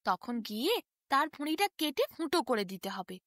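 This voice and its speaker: A woman's voice: high-pitched, very animated, moderate pace, fairly bright tone, no room sound, studio quality. A woman voicing a small, easily angered tailorbird in a storybook tale, wheedling in a sing-song, repetitive way. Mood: bargaining. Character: small indignant pleading tailorbird, quick to anger.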